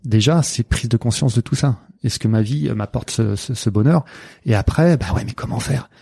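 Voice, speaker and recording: A man with a Marseille accent speaks with a gravelly, mellow voice, dry and close. He is a sports coach in a relaxed interview, hesitating and restarting often, dropping in jokes. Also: medium pitch, normal speed, no echo. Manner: hesitant, many fillers and restarts, jokey asides. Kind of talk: casual interview conversation.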